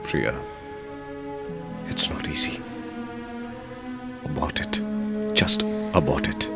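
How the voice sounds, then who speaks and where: A man's voice: extremely low pitch, wildly swooping pitch, slow pace, vocal fry, in a large hall, loud music behind the voice, full character voice. An actor with an Indian English accent playing a hesitant, emotional young man in an audio drama. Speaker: young man in a radio play.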